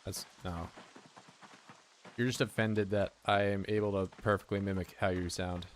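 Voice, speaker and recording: Rambling, low-voiced male game streamer. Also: flat delivery, normal speed, a little hiss or hum. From United States. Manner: rambling, joking with chat. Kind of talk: casual gameplay commentary.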